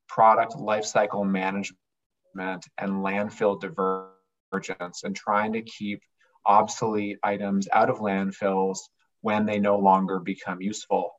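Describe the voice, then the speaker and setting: A man's voice: fairly low pitch, muffled recording. A man who runs a family business explaining his company earnestly in an interview. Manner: earnest, explanatory, occasional restarts. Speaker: business owner, interview guest. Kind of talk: conversational interview.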